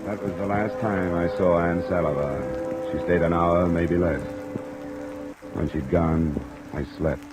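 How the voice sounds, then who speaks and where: An actor playing a tough, weary American insurance investigator in terse 1950s detective radio drama style. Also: male voice, low voice, steady tone, measured pace, over loud music, rough recording. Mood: grim narration. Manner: terse, hard-boiled delivery. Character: tough, weary male insurance investigator narrating his case. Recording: old radio recording under rain noise.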